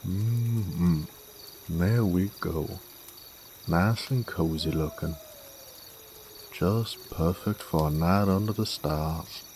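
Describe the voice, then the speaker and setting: Deep, slow-drawling Southern cowboy rogue, acted by a man. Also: darkish tone, slight background noise. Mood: sly, flirtatious. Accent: American Southern. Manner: drawling, folksy, teasing. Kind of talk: scripted roleplay audio drama.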